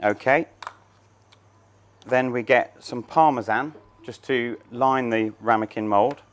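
A man's voice: medium pitch, steady tone, slow pace, a little hiss or hum. A man in his thirties, a chef, talking through a cooking demonstration step by step in a large showroom kitchen. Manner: plain, step-by-step explaining while working.

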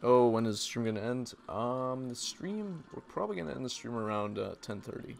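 Hoarse, gravelly male game streamer. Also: medium pitch, a little fast, a little hiss or hum. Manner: reads chat aloud and answers viewers. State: losing his voice, hoarse. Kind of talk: casual live-stream commentary.

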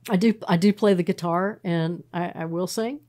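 A middle-aged woman from Texas speaks with a Texan accent and a slightly low voice. She tells stories in an easy podcast chat, full of fillers and restarts. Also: normal speed. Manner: warm storytelling, frequent fillers and restarts. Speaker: pastor. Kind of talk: casual podcast interview.

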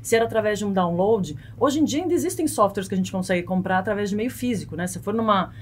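Brazilian woman explaining, rumbly background noise. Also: lively intonation, fast. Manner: explanatory, peppered with tag questions like "né" and "tá". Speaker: guest legal expert. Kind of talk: conversational expert explanation on a podcast. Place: small foam-padded podcast studio. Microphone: close studio mic on boom arm.

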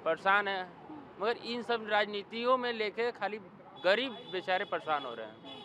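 A man's voice: high voice, lively intonation, normal speed. A man, answering casually in a roadside interview. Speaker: local interviewee. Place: outdoors, seated at the roadside. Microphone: handheld reporter's microphone. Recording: clear street noise behind.

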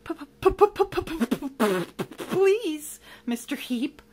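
A woman voicing a timid, lonely, gentle monster in a storybook, plaintive and hesitant. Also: a little high, very animated, normal speed. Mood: frightened, pleading.

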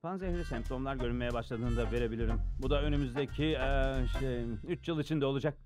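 A man's voice: medium pitch, fast, over music, lightly acted. A man voicing a kindly, nervous middle-aged scientist father in a cartoon dub, polite and given to rambling. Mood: breezily reassuring. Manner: polite, flustered, cheerfully rambling.

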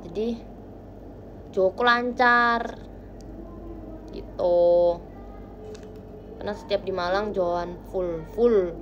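A young woman chats with viewers on a phone livestream from a small bedroom. Her delivery is playful, and soft murmurs jump into bright, lively bursts. The sound is a little dull, with a faint hiss under her voice. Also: medium pitch. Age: young adult. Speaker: idol livestream host. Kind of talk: casual livestream chat with viewers. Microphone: phone camera close to face.

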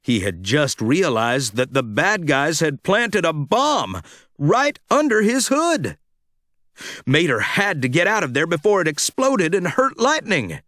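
A man narrating a scripted children's read-along storybook with a dramatic, expressive delivery. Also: a little high, a little slow, no room sound, clean studio sound.

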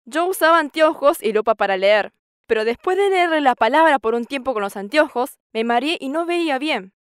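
High-pitched woman giving church testimony. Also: expressive, fairly quick, no echo, clean studio sound. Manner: earnest, quotes scripture at length and acts out dialogue with a raised voice. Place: TV studio stage. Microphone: lapel mic.

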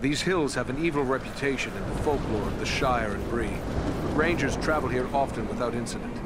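An actor playing a grave, watchful ranger and tracker in a fantasy game, speaking in solemn, measured, formal phrases. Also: male voice, mid-range voice, expressive, very noisy, close to an everyday voice. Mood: wary, measured. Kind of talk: dramatic fantasy game acting. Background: loud music.

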